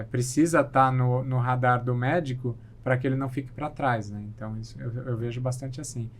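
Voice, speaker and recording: A man's voice: mid-range voice, slight background noise. A Brazilian man, a surgeon guest on a podcast, answering thoughtfully with frequent restarts. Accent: Brazilian Portuguese. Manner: thoughtful, hesitant, many restarts and fillers. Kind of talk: interview answers.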